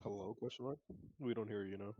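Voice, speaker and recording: Lowish-voiced man grumbling through a game. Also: fast talker, no echo, lo-fi sound. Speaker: player. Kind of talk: casual tabletop gaming chat. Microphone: online voice call.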